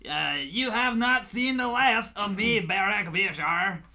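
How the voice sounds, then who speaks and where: Male actor for theatrical serial villain, wordy and pompous, slow high delivery, parody radio play. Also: expressive, sharp tone, full character voice. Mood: defeated, vengeful. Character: grandiose French melodrama villain vowing doom.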